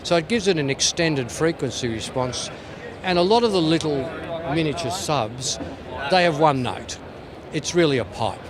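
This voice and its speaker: A man's voice: on the high side, expressive, moderate pace, crowd noise. A man giving an informal, playful product demonstration as a company representative at a trade show. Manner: tongue-in-cheek, playful.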